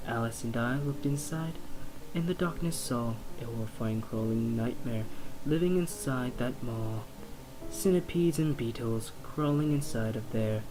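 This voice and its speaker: Man, horror poetry narration, very slow deliberate pacing, theatrical, dramatic, prominent guitar music behind. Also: medium pitch, rough recording. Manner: theatrical, slow and deliberate delivery of rhymed verse. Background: plucked guitar music.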